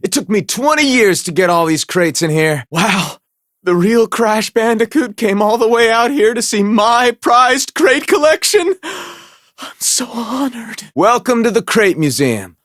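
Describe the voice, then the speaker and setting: Chatty, starstruck cartoon game islander, high-voiced, swooping, given to melodrama. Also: male voice, fairly bright tone, no room sound, clean studio sound. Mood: proud, honored. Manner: gushing, melodramatic, casual slangy phrasing. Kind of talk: cartoon video game voice acting.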